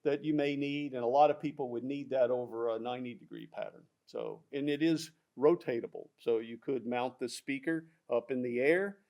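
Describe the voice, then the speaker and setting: A man talks through loudspeaker features in a technical product discussion. He explains at a steady, mid-range pitch, often pausing on fillers and restarting his sentences. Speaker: audio product specialist.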